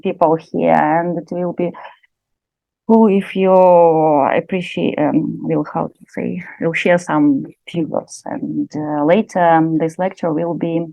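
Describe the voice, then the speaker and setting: Russian-accented woman lecturer, low and creaky voice, hesitant speech with many fillers, dry close sound. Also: darkish tone, dry recording, highs cut off. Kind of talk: informal lecture in English.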